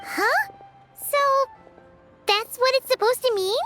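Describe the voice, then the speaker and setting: A woman voicing a tiny, excitable, naive fairy companion in a video game, with a squeaky childlike voice. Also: takes it slowly, full character voice. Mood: puzzled. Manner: exclamatory, openly puzzled. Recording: loud music over voice.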